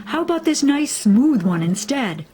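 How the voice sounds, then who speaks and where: A woman's voice: high-pitched, very animated, a little slow, mellow, a little hiss or hum, pitched above the performer's own voice. A woman voicing a bossy, dismissive big sister in a children's storybook.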